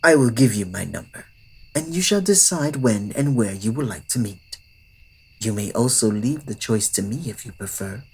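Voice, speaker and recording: A man playing a courtly, smitten old-world vampire in an intimate ASMR roleplay, with formal phrasing that often slips into breathy whispers. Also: on the low side, lively intonation, normal speed, darkish tone, rough recording. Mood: courteous, hopeful. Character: courtly, old-fashioned adult male vampire, yearning, polite, smitten. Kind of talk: ASMR roleplay audio drama addressed to the listener.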